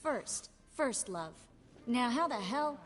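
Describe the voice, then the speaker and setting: An actress playing a composed, wry sorceress in a video game, speaking in a measured way. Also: female voice, fairly high pitch, expressive, big-room sound. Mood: wry, pointed.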